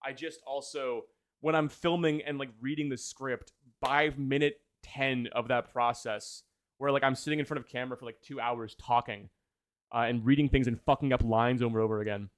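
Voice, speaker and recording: A man talks casually to his livestream viewers in a mid-pitched voice with very little room sound. He is enthused and rambles, often doubling back to fix what he just said. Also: fairly even intonation, normal speed, no echo. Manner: rambling, enthused, self-correcting. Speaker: video essayist, livestream host.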